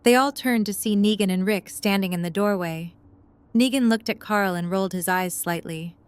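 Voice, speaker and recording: Clear-voiced woman narrator. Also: mid-range voice, moderate pace. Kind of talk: scripted story narration.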